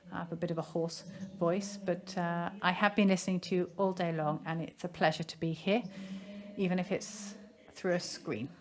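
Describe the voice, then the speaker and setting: Low-voiced woman interpreting a seminar. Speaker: conference interpreter.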